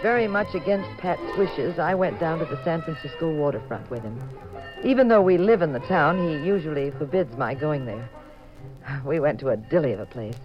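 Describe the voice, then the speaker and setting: Wry radio detective's wife. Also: female voice, deep voice, expressive, moderate pace. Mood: wry, chatty. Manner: chatty, arch, teasing. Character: wry, playful detective's wife, young-to-middle-aged woman. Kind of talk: 1950s radio drama acting and narration. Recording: muffled vintage 1950s radio recording with music.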